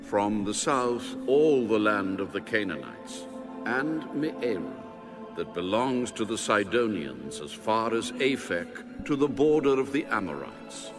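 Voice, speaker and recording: An actor playing God in a dramatic audio Bible, speaking in a solemn, stately, commanding manner. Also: male voice, lowish voice, big pitch swings, measured pace. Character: God, male, solemn, commanding, ancient authority. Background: music.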